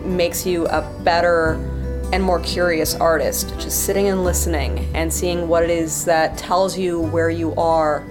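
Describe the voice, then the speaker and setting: Lower-pitched woman reflecting in an interview. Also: little pitch movement, measured pace. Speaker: interview guest, sound effects artist and writer. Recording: music playing behind the voice. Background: music.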